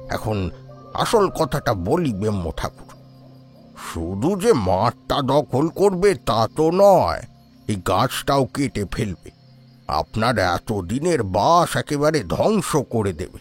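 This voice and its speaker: Man acting a bold old man, swooping intonation. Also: lowish voice. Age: older. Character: bold, hot-tempered old man pleading for a playing field. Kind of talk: Bengali horror audio drama acting.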